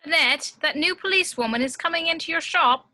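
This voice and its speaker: Female actor as radio dispatcher. Brisk, forceful, high-pitched. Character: police radio dispatcher woman. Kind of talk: film script table read.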